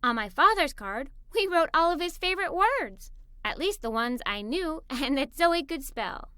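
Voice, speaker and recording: A woman reading a scripted children's story aloud in an animated, expressive way. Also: high-pitched, moderate pace, no echo, studio quality. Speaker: story narrator. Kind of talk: scripted children's story read-aloud, first person as a young girl.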